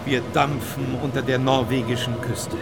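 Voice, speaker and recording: An actor playing a reverent travel writer, reading a measured travelogue in a radio play. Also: male voice, mid-range voice, steady tone, loud music behind the voice, lightly acted. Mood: measured storytelling. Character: reverent, admiring travel writer of the imperial entourage.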